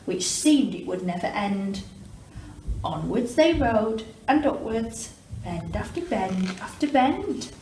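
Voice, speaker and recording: A woman reading a rhyming children's storybook aloud like a primary teacher, in an expressive, measured way. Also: mid-range voice. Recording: roomy, distant mic with clear background noise.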